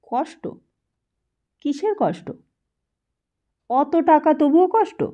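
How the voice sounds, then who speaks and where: An audiobook character, played by a woman, a scornful teenage boy with a high, soft-edged voice. He badgers and interrogates, cynical and dismissive, on a slightly dull recording. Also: sing-song, takes it slowly, heavily acted. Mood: skeptical.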